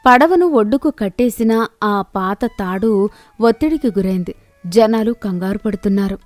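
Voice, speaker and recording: A woman narrating a children's moral story in a steady, clear storytelling manner. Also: medium pitch, moderate pace, no echo, faint music behind.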